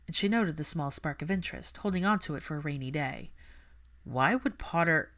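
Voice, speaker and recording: A woman's voice: low voice, quick pace. A woman narrating fiction as an audiobook reader, in a scripted storytelling style.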